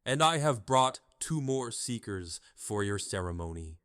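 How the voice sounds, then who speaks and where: A man's voice: mid-range voice, big pitch swings, measured pace, a bit bright, clear, resonant tone, no room sound, studio quality. A man playing a solemn, resolute mystic leader in an audio drama, speaking with portentous gravity. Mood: grave. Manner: grave, portentous.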